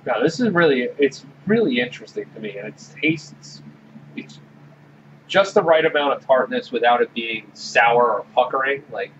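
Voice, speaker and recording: A man guides a relaxed beer tasting and speaks in a measured, steady way. His voice sits at a middle pitch. The sound is a little dull, with a faint hiss underneath. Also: recorded from a distance. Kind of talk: casual beer-tasting chat. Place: small room with orange walls.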